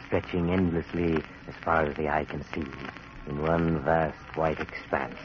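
An actor playing a sinister male horror host in a 1940s radio drama, telling the story slowly and ominously. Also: a bass voice. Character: sinister male host Doctor Weird, deep and mocking. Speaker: host narrator. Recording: dull old radio broadcast with hiss.

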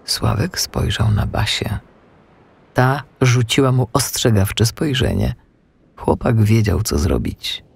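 A man narrating a children's audiobook in an expressive, dramatic storytelling manner. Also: mid-range voice, takes it slowly, vocal fry, husky.

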